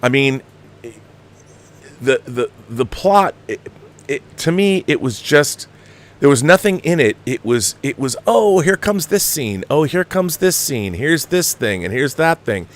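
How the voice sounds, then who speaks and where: A man delivers opinionated film commentary in a conversational way. His pitch moves up and down widely as he hammers points home, restarting and repeating phrases with an exasperated, emphatic manner. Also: sing-song, measured pace. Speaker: film review show host.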